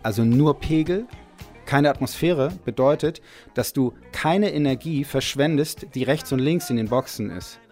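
Male interviewee, conversational storytelling, mid-range pitch, light music underneath. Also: normal speed, darkish tone. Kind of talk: spoken anecdote and explanation about music mixing.

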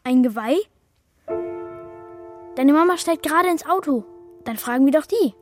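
Woman playing a high-voiced, stubborn young boy. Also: lively intonation, moderate pace, faint music behind. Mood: insistent. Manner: insistent, stubborn. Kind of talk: children's radio play acting.

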